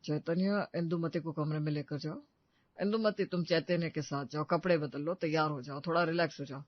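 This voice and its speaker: A woman voicing a calm, sensible grown man, the elder brother-in-law, in a cartoon story. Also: low voice, a little fast, no room sound. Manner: soft, steady, sensible. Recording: dull dry recording.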